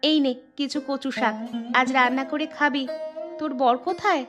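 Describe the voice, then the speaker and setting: A woman voicing a caring, hard-up young village mother in a cartoon, speaking in a gentle, practical way. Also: sits high, fairly even intonation, moderate pace, music in the background, natural voice. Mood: brisk, friendly. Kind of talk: Bengali moral-story cartoon dubbing.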